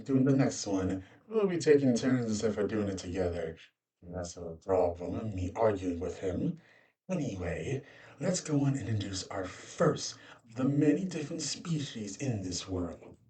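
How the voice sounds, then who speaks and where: A man's voice: lowish voice, warm tone, distant mic, rough recording. A man voicing a cosmic monster beast who hosts a casual, rambling narrated guide to fantasy creatures, with offhand asides. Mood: conversational. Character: cosmic monster beast presenting its world's species, conversational. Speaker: host introducing fantasy species.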